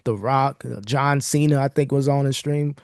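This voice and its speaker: A man's voice: medium pitch, steady tone, normal speed, no echo, studio quality. A man hosting a podcast, talking through news in a casual, slangy, laid-back way. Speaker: podcast host. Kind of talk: casual chat and commentary on news.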